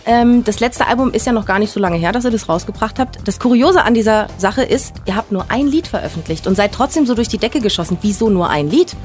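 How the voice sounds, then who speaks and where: Woman host, talks fast, highish voice, long rambly questions. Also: expressive, darkish tone, faint music behind. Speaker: interview host. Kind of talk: interviewing.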